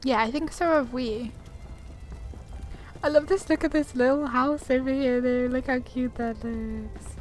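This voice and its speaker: A woman's voice: sits high, fairly even intonation, measured pace. A woman hosting a gaming video, giving casual commentary as she plays. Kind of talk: casual game playthrough commentary. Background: game music.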